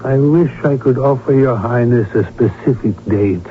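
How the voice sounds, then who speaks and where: A man playing a loyal, measured and slightly wry court physician in an old-time radio drama. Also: mid-range voice, a little hiss or hum, close to an everyday voice. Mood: patient, reassuring. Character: loyal, measured court physician recalling his royal patient. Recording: muffled old radio broadcast, music behind.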